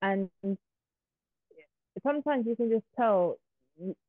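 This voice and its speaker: A woman's voice: a little high, lively intonation, takes it slowly, no echo. British-accented woman over a tinny call line. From Uganda. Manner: candid, laughs easily. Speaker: musician guest. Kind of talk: casual interview chat. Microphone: video call. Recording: tinny phone-like call audio.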